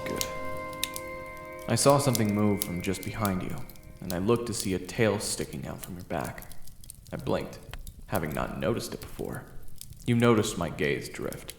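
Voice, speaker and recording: A man performing a first-person audio roleplay, narrating and talking to the listener, often stammering and flustered, sometimes whispering and sometimes yelling. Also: low voice, lively intonation, moderate pace, vocal fry, pauses often. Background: video game soundtrack music.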